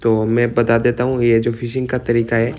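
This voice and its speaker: A man's voice: medium pitch, completely flat delivery, moderate pace, darkish tone. A young man talks into a phone camera outdoors beside a river. His delivery stays level and unvaried, and he rambles with plenty of filler words. The speech comes across casual and unscripted. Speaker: vlogger. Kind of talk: casual vlog narration.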